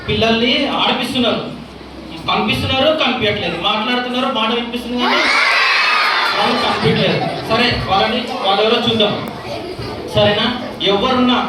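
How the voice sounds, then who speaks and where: A woman with a fairly high voice hosts a children's church program, teasing and questioning the kids as she warms them up for a puppet show. She sounds far from the mic in a big echoing hall, with loud children and crowd noise all around. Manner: coaxing, questioning children playfully. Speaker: vacation bible school leader. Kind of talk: lively children's show hosting. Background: noisy children.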